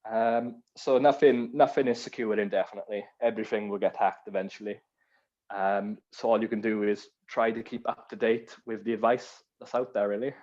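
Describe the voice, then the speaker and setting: A man with a strong Welsh accent speaks in a fairly low voice over a video call, relaxed and easygoing as he explains things with examples. The call audio sounds dull and lo-fi. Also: brisk. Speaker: guest speaker on cyber security. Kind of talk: casual online panel chat.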